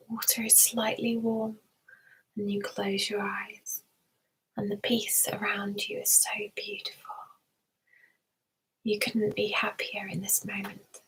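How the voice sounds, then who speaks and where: A woman softly guiding a meditation in an ASMR style, mostly in a breathy whisper, soothing and gentle, often repeating words. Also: medium pitch, poor audio. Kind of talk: ASMR guided meditation roleplay.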